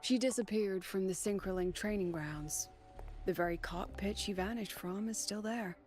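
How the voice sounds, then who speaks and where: Academy president, video game female actor: composed, curious, mid-pitched, measured with dramatic pauses, over music. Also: a little mellow, natural voice. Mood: teasing curiosity. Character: poised, intrigued woman academy president and lecturer.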